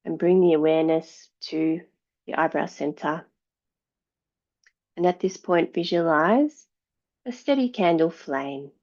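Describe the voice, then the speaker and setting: Woman, yoga teacher, very slow pace, soothing guided meditation, long pauses, slightly muffled lo-fi recording. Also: sits low, a little mellow. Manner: measured, soothing delivery with long pauses between phrases. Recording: dull, slightly lo-fi sound.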